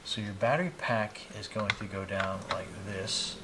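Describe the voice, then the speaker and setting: A man in his fifties giving a casual, unhurried product demonstration, explaining each step informally as he goes. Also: low voice, steady tone. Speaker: product reviewer demonstrating gadgets on his channel. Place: small indoor hobby room at a desk. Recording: clear background noise, clicks of handled items.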